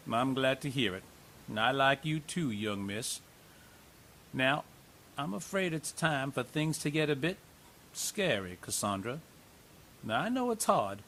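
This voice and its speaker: Man as a folksy reassuring investigator, expressive voice, faint tape hiss. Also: mid-range voice. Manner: folksy, gently joking.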